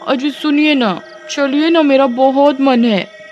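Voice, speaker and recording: Eager young village wife played by a woman narrator, coaxing and exclamatory, higher-pitched, for an animated storybook, music behind. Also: takes it slowly, highs cut off. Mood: pleading. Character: eager, playful young wife, pleading and easily frightened. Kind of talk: animated Hindi storybook acting.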